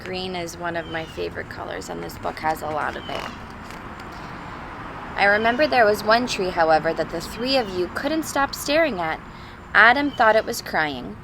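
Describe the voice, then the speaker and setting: Female storybook reader for young children, expressive and chatty, creaky mid-range voice, clear background noise. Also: fairly low pitch, moderate pace. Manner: expressive, simple explanations and questions for young children. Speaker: teacher reading a picture book aloud to children. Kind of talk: storybook read-aloud with chatty asides to children.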